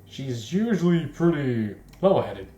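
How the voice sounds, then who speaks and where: A man's voice: mid-range voice, big pitch swings, unhurried, mellow. Jokey man in an echoey room. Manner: jokey, self-conscious, rambling. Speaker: let's play host. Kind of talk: joking commentary and read-aloud of a visual novel. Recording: echoey, roomy home recording.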